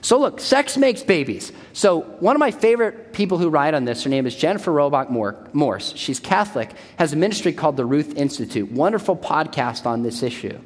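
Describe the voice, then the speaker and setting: A man's voice: a little high, lively intonation, fairly quick, few pauses. A man in his forties giving an emphatic church talk, repeating his key points. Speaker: speaker at a church. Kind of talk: sermon-style talk. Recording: long hall reverb.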